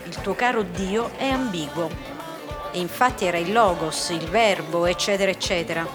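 Low, dry, argumentative woman acted. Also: normal speed, natural voice. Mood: argumentative, sardonic. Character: Maria, dry, irritated, argumentative woman hosting a party. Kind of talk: radio drama acting with inner monologue. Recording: music behind the voice.

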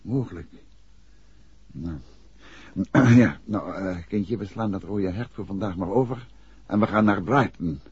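An actor playing a composed, courteous married detective in a 1960s radio drama, speaking with a Dutch accent. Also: male voice, on the low side, measured pace, long pauses, a little mellow, slightly rough, dry recording. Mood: calm, decisive. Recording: muffled 1960s radio recording, faint noise.

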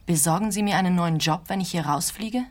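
A woman's voice: lowish voice, fairly quick, lightly acted. An actress playing a cautious, earnest female clinic carer in a radio play, speaking in a matter-of-fact way. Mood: worried, cautious. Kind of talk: German-language radio play acting.

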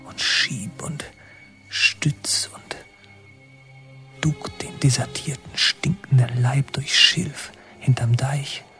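An actor playing a brooding, haunted young man who narrates his memories in a deliberate, halting, literary radio drama style. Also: medium pitch, a bit bright. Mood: strained, murmuring. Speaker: narrator and protagonist.

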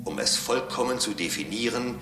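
An actor in a radio drama playing a calm, condescending robot who preaches solemnly and pedantically about serving a higher master. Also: male voice, normal speed, close to an everyday voice. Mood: lofty, preaching. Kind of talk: German radio drama acting. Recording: roomy echo, music bed behind.

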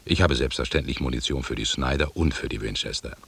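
An actor playing an imperious, condescending expedition leader in a German radio drama, lecturing deliberately in a gravelly, husky voice. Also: male voice, extremely low pitch, fairly bright tone, full character voice. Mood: stern, lecturing.

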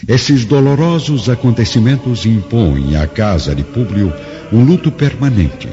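A man with a Brazilian accent giving solemn, expressive scripted narration for a radio drama. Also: mid-range voice, measured pace. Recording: dull lo-fi with faint music.

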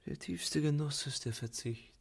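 A man acting a cool, distrustful 1920s con man in a radio play, speaking in terse, deliberate phrases. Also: a little high, gravelly, husky. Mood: cold, guarded.

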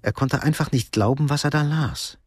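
An actor narrating a Cold War thriller radio drama in a sober, measured, literary manner. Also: male voice, on the high side, slightly rough, dry recording. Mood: sober, suspenseful. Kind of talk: scripted narration.

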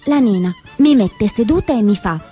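An actress playing a spirited, naive young Italian partisan girl in an animated, emphatic theatre monologue. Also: on the high side, normal speed, soft background music, natural voice. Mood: breathless storytelling. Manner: animated, emphatic, swinging between hushed narration and exclamation.

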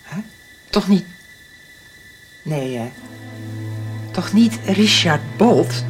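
A woman's voice: fairly low pitch, lively intonation, drawn-out words, soft background music. An actress playing an alarmed, decisive woman who takes charge in a radio soap.

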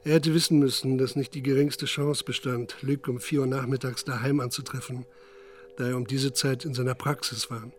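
An actor playing a sober narrator who recounts a crime in a factual, composed way in a radio drama. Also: male voice, medium pitch, little pitch movement, normal speed, lightly acted.

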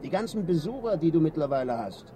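A breezy hustler pal in a radio drama. His voice is high and soft-edged, chummy and cheeky, over a faint noisy background. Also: big pitch swings, fast. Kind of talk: naturalistic radio drama acting.

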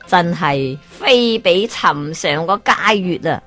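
A woman's voice: fairly low pitch, expressive, slow, a bit bright. Woman doing a gloating old man, scornful chuckles, storybook telling, faint music behind. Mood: smug.

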